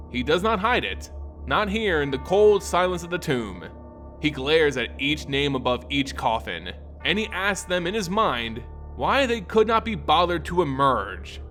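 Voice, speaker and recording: A man reads a scripted fantasy tale as a dramatic storyteller. His voice sits a little high and moves widely in pitch, with a brooding, theatrical weight. Music plays softly behind him. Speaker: storyteller and lore narrator.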